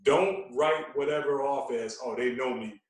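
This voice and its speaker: A man talking casually as a podcast guest, telling stories in an animated way and repeating phrases for emphasis. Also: mid-range voice, a little slow. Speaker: guest, veteran radio personality. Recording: echoey room, distant from mic.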